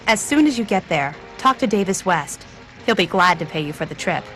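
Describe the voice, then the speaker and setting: Lowish-voiced, casual townswoman. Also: expressive, brisk, fairly bright tone, soft background music, rough recording. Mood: casual, earnest. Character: casual, worried townswoman asking a stranger a favour. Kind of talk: video game character acting.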